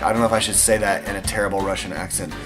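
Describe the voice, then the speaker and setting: A man's voice: low-pitched, steady tone, rapid-fire, over music. Chatty young Texan man. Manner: enthusiastic, chatty, frequent fillers. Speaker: airsoft channel host answering viewer questions. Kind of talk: casual Q&A vlog.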